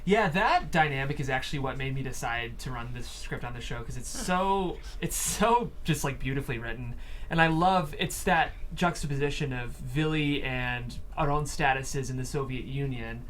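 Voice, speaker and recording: A man's voice: medium pitch, expressive, normal speed, a bit bright. A man hosting a conversational interview in an earnest, articulate and appreciative way. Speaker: interview host. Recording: faint background noise.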